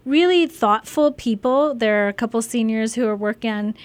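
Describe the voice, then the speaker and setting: A woman's voice: a little high, normal speed, clear, resonant tone. A middle-aged woman from Sri Lanka, a physician and volunteer, answering interview questions in an earnest, enthusiastic way.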